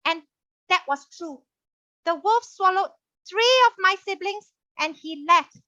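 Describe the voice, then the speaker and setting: A woman voicing a cheeky young female lamb puppet with big glasses, talking in a playful, quick, squeaky way over a video call. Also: expressive, bright, forceful tone, no room sound, heavily acted. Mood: storytelling. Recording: dull lo-fi video call audio.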